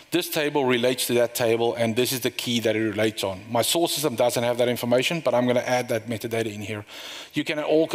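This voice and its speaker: A middle-aged man giving a conference talk, walking through a live software demo in a loose, rambling way with fillers and restarts. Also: medium pitch. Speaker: conference speaker. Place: conference room. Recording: long reverb of a hall.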